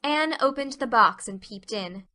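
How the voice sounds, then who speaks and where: A woman's voice: a little high, expressive, measured pace, bright, forceful tone. A woman reading scripted storybook narration as the narrator of a dramatized novel.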